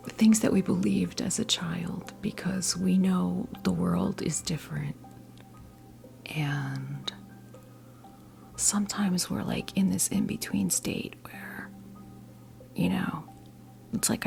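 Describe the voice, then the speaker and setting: A woman in her fifties with an American accent gives an ASMR tarot reading in a breathy whisper. Her delivery is very slow and gentle, with a gravelly crackle at the edges. Music plays clearly behind her. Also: mid-range voice. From New Jersey, United States. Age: 50s. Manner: soothing, conversational, halting and gentle. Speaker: ASMR creator role-playing a witch tarot reader. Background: soft background music.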